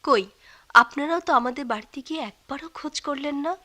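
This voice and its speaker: An actress playing a firm, proud young orphaned daughter in a radio play, earnest and reproachful. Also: female voice, high-pitched, brisk, bright, forceful tone. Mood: hurt, pleading. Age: young adult. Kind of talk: Bengali radio play acting.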